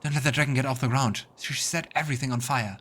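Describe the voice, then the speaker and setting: A man's voice: mid-range voice, steady tone, fast talker, noisy background. A man hosting a let's play, mixing casual game commentary with narration read aloud. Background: game music.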